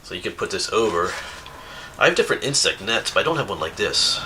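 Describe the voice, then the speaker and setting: A man's voice: lowish voice, sing-song, fast talker, pushed and bright, a little hiss or hum, low-quality recording. A man, sniffly from a cold, chatting casually and rambling as he opens viewer mail at home on camera, laughing often. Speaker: outdoors YouTuber reading viewer mail. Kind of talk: casual chat. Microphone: camera mic.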